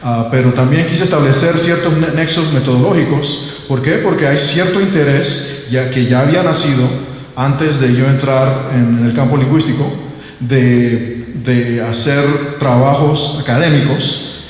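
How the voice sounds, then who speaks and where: A young man from Panama, whose first language is English, speaks as a linguist at a seminar, stumbling through restarts and fillers. His middle-pitched voice rings through a very echoey hall. The long reverb trails after each phrase. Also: measured pace. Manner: hesitant, many fillers and restarts. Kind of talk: academic presentation. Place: seminar room.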